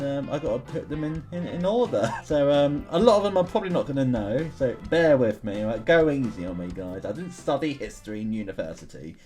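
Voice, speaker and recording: Animated young man, casual gaming commentary. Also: mid-range voice. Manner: chatty, informal, thinking aloud. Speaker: gaming video host. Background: music behind the voice.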